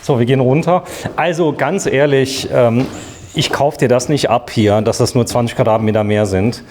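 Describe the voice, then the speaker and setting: A man's voice: medium pitch, normal speed, a little mellow, slight background noise. A man presenting an informal, chatty walk-through interview in a show house, with a jokey manner. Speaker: TV presenter touring show homes. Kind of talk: casual interview while walking around. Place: walking around a show house.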